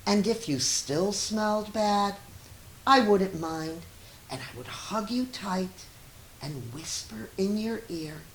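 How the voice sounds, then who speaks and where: A woman's voice: mid-range voice, expressive, slow, pitched above the performer's own voice. A woman voicing a loving, patient mother who reassures her small child in a storybook read-aloud. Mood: tender. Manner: tender, emphatic reassurance. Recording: roomy echo with faint hiss.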